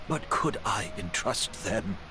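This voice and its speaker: An actor playing a gentle, resigned older master in a video game, speaking softly and with measured weariness. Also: male voice, on the low side, animated, over music. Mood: pleading, gentle. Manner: slow, measured, soft-spoken. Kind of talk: dramatic game dialogue. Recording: noisy game livestream capture.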